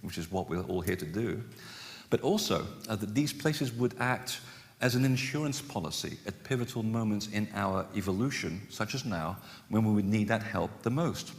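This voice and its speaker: A man born in Portugal speaks to a conference audience in a somewhat low voice. His delivery is conversational and self-deprecating, scattered with ums and restarts. His voice carries a long reverb from the hall. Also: animated, normal speed. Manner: chatty, self-deprecating, frequent fillers and restarts. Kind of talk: lecture to a live audience.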